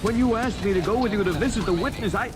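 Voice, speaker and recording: A man's voice: high voice, quick pace, close to an everyday voice. A man playing an anxious, devout, cautious friend in an old-time radio drama, nervous, hesitant and pleading. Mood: worried, hurt. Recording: old radio recording under loud music.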